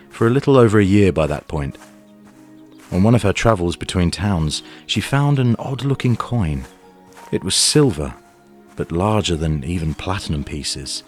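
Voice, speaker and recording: Low-voiced male narrator over music. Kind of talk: scripted story narration for audio drama.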